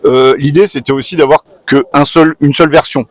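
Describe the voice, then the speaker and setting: A man who writes books, explaining his work briskly in a casual interview. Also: fairly high pitch, fairly even intonation, dry recording. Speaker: author. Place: convention hall.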